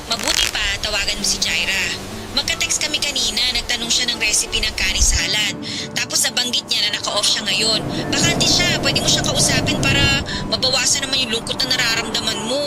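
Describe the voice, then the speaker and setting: A woman's voice: a little high, lively intonation, a little fast, close to an everyday voice. An actress playing a chatty, sympathetic young woman who comforts her heartbroken friend in a radio drama, with music behind. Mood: encouraging. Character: caring young woman, close friend and coworker.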